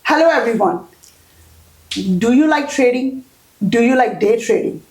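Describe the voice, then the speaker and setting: A woman's voice: on the high side, lively intonation, unhurried, a little mellow. A woman hosting a podcast, welcoming her guest and interviewing him with enthusiasm. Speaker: podcast host. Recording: echoey room.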